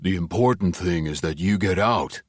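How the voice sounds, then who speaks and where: A man voicing a gruff, loyal male friend who growls his words. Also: sits low, normal speed, no echo, studio quality.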